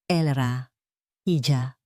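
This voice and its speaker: A woman's voice: very deep voice, drawn-out words, darkish tone, dry recording, studio quality, pitched below the performer's own voice, full character voice. A woman with a Filipino accent voicing a dignified, affectionate older father in a storybook narration, formal and earnest. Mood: gentle.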